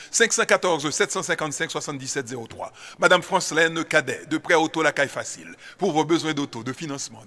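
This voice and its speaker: A man's voice: a little high, pushed and bright. A man reading a promotional radio advertisement as its announcer, listing services and contact details.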